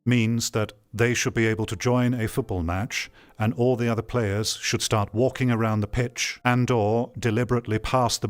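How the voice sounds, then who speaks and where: A man giving blunt, opinionated live commentary as a streamer, ranting and sometimes swearing. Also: on the low side.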